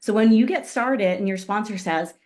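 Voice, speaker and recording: A woman giving an upbeat motivational business training talk on a call, fighting a cough and often clearing her throat. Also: medium pitch, moderate pace, darkish tone. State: coughing, voice strained. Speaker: guest speaker on a business call.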